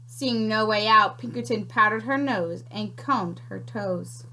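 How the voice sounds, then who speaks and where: A woman teacher reading a picture book aloud to children, expressive and unhurried, pausing to ask questions. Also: mid-range voice, slight background noise, rough recording.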